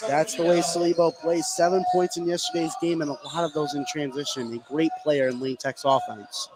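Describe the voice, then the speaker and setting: A man's voice: fairly high pitch, fairly even intonation, fairly quick. A man giving color commentary and analysis during a live basketball radio broadcast. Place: indoor basketball gym during a game. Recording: noisy gym ambience, bouncing basketballs. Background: loud gym ambience, basketball bounces.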